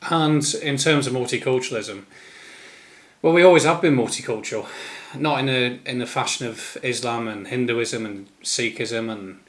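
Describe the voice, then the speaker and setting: A man with an English East Midlands accent giving an informal, unscripted opinion monologue in a rambling, halting manner. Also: mid-range voice, fairly bright tone. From East Midlands, England. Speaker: solo commentator.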